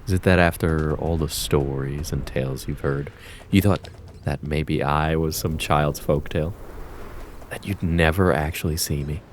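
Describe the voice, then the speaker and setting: A man voicing a menacing, mocking kitsune fox spirit in an ASMR roleplay, taunting and theatrical with a drawn-out predatory purr. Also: a bass voice, slight background noise.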